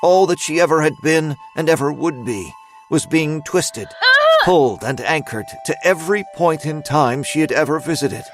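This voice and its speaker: A man narrating a scripted dramatic story as its storyteller, in a measured, suspenseful manner. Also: a little high, soft background music, close to an everyday voice.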